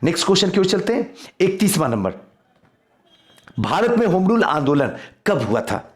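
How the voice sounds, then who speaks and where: Animated high-pitched man teaching. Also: normal speed. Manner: repeats key phrases for emphasis, addresses students directly. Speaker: exam-prep teacher. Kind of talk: quiz lesson, reading out questions and giving answers.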